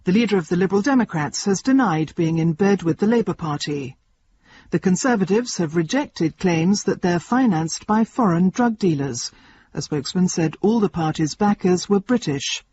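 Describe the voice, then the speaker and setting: A woman playing a deadpan radio newsreader, reading scripted headlines in a measured, straight-faced way. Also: on the low side, husky, highs cut off.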